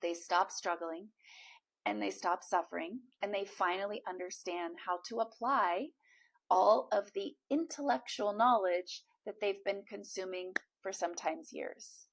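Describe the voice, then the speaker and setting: Woman, slightly hoarse, gravelly fry, encouraging coach talking to camera. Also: mid-range voice, a little slow. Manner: encouraging, addresses viewer directly with fillers. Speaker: manifestation coach. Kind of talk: direct-to-camera coaching talk.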